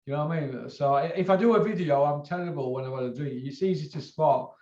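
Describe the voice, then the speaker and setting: Higher-voiced Northern English man, roomy sound. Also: quick pace, a little mellow, muffled recording. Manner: rambling, colloquial, sweary, repeats himself. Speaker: host of a boxing video channel. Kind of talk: casual chat.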